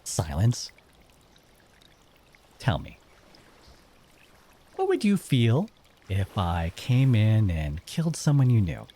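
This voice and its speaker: A man acting as a bitter exiled merfolk interrogator in an audio drama, speaking in a stern, accusing way with dramatic pauses. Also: fairly low pitch, wildly swooping pitch, dark tone, husky, heavily acted. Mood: stern, menacing.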